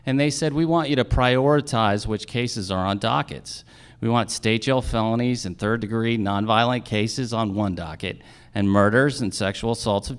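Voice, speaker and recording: A man's voice: on the low side, clean tone. A middle-aged man giving an emphatic, rhetorical speech as a judicial candidate at a forum. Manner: emphatic, rhetorical questions and repetition. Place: live in a theater.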